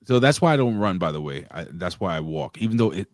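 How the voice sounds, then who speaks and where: A man's voice: deep voice, sing-song, quick pace, no room sound. A man in his late forties chatting as a guest, telling rambling stories full of cursing and restarts. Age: 40s. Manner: profane, rambling storyteller with many restarts. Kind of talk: casual chat.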